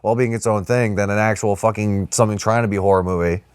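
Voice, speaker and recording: A man's voice: on the low side, steady tone, fast, clean tone, no room sound, studio quality. A man co-hosting a podcast, talking casually about movies in a loose, rambling way. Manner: rambling, heavy use of "like". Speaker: podcast co-host.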